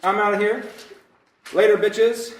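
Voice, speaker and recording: A man acts out an exasperated, foul-mouthed president in a sketch comedy show. The voice is high for a male, sarcastic and defiant. It sounds echoey and set back from the microphone. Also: steady tone, unhurried. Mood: defiant, fed up. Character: exasperated, foul-mouthed departing American president, ranting bluntly. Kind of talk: comedy sketch in old-time radio variety show. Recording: echoey room, distant from mic.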